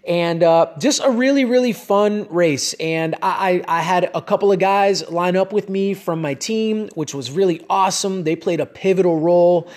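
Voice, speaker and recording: A man's voice: high-pitched, normal speed, hardly any pauses, darkish tone. A man in his late thirties hosting a cycling podcast, talking in a casual, earnest, rambling way with many restarts and fillers. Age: 30s. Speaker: cycling podcast host and coach. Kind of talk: casual solo podcast monologue.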